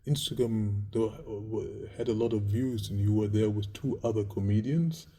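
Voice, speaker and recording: A man's voice: fairly low pitch, moderate pace, mellow, husky. A male podcast host asking hesitant questions and giving short agreeing replies. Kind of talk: casual interview chat.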